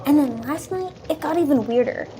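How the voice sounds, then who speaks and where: Woman playing bubbly, very high-voiced young townswoman. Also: animated, brisk, dark tone. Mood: mysterious. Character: bubbly, chatty young townswoman in a fantasy adventure. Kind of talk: fantasy audio drama roleplay.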